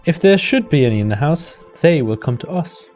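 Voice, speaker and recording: A man's voice: fairly high pitch, big pitch swings, brisk, darkish tone, faint music behind. A man playing an earnest, pedantic doctor who investigates apparitions, speaking formally in a radio play. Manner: formal, correcting.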